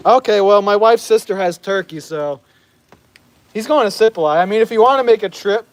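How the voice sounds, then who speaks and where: A fairly high-voiced American man chats loosely on an outdoor live stream, tripping over his words and laughing now and then. Rain patters steadily in the background. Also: a little fast, dry recording. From United States. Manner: chatty, laughs often, stumbles over words. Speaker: vlogger reading live chat. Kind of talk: casual live stream chat. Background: rain falling.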